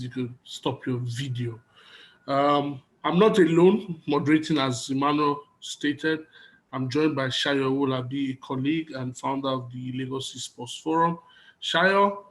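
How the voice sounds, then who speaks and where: Higher-pitched Nigerian man hosting a panel. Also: fairly bright tone, low-quality recording. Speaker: conference moderator. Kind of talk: hosting a panel, introducing guests. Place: online video conference.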